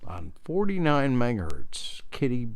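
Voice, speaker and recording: A man's voice: medium pitch, wildly swooping pitch, a little slow, no echo. A middle-aged American man talking casually, meandering through hobby explanations and anecdotes in an informal, self-deprecating way. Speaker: hobbyist radio video presenter.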